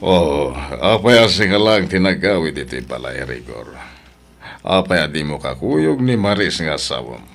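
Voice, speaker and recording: A man's voice: extremely low pitch, sing-song, unhurried, gravelly, fairly bright tone, soft background music, heavily acted. A man with a Filipino accent playing a stern, concerned older father in an Ilocano radio drama. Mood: stern, disbelieving. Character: stern concerned old father of a grown son.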